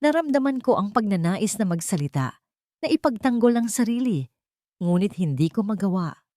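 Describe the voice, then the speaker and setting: A woman's voice: medium pitch, lively intonation, normal speed, dark tone, no room sound, clean studio sound. A woman reading a scripted, dramatic romance story as its narrator.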